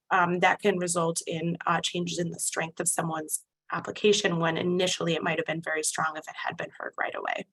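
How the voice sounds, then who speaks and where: A woman's voice: on the low side, creaky, a bit bright, slightly rough, rough recording. Woman attorney presenting a webinar. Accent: American English. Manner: measured, many fillers and restarts. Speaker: immigration attorney.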